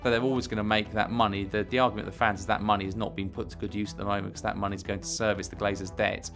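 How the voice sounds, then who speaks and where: A man explaining the fans' side of a football takeover, briskly. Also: lowish voice, flat delivery, creaky, over music.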